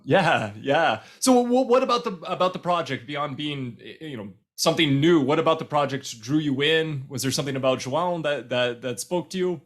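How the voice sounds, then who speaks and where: A man's voice: on the high side, lively intonation, moderate pace, few pauses. A man hosting a podcast, chatting enthusiastically and often restarting his sentences. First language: English. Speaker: podcast host. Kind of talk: casual interview chat. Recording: roomy echo with faint music.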